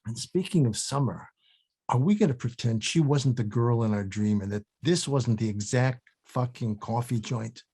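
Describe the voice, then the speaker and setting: A man's voice: medium pitch, moderate pace, dark tone, breathy edge. An actor playing a grumpy, defensive older man in a comedy table read, speaking in a blunt, grumbling, sweary manner. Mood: wry, grumbling.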